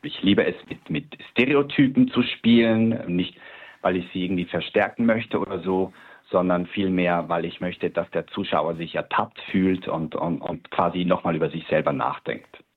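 A man's voice: deep voice, normal speed, slightly rough. A man from Switzerland, a guest artist, telling his story in an interview with frequent hesitations and restarts. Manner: hesitant, many fillers and restarts. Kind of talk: personal interview account. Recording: tinny phone-like line.

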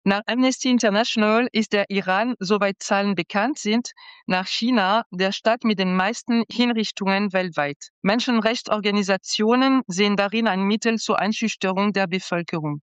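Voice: medium pitch, steady tone, normal speed, fairly bright tone, clear, resonant tone, no echo, highs cut off. A moderator of a news magazine, reading serious news in a sober, scripted way.

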